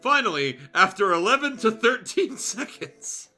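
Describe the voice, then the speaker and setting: Forceful bright-voiced man reading fan fiction. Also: high-pitched, big pitch swings, measured pace. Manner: crude, joking, sweary. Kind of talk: story narration with casual, irreverent asides. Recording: music behind the voice.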